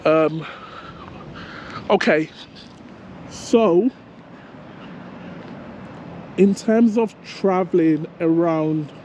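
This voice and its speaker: A man with a hoarse, sore throat, hesitantly talking through a casual travel vlog while walking outdoors. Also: on the high side, extremely slow, pauses often, darkish tone, slight background noise. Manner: hesitant, many ums, thinking aloud. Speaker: travel vlogger. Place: outdoors on city streets.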